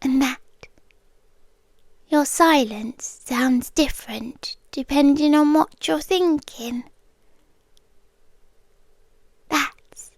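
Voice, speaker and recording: A British woman performs a young girlfriend whose devotion has tipped into obsession, calm and intimate with an unsettling edge. Her high voice moves slowly, sliding into soft whispers right up against the mic. The sound is dry and close, with no room around it. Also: no echo. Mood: tender, eerie. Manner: soft-spoken, slipping into whispers. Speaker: ASMR roleplay performer. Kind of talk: ASMR roleplay.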